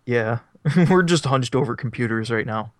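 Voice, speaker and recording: A man's voice: mid-range voice, normal speed, no echo, clean studio sound. A young man co-hosting a casual podcast from his couch, rambling and self-deprecating, laughing often. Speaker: podcast co-host. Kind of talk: casual chat. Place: on a couch at home. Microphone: microphone set up beside him.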